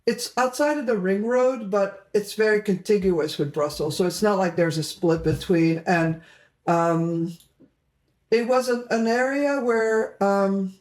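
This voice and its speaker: Middle-aged woman, mid pitch. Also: measured pace, darkish tone. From Belgium. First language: Flemish. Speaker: interview guest. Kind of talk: casual personal storytelling. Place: indoor home room, video call.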